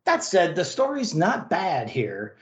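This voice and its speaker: A man's voice: high voice, huge pitch swings, takes it slowly. A man hosting a podcast, giving a wry, sarcastic and emphatic review. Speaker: podcast host. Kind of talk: wry comic book review. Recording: roomy, echoey sound.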